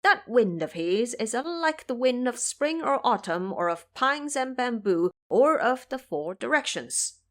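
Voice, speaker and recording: A woman's voice: a little high, very animated, unhurried, hardly any pauses, fairly bright tone. A woman voicing an elderly male farmer, a courteous and solemn host, in an audio drama. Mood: alarmed, solemn.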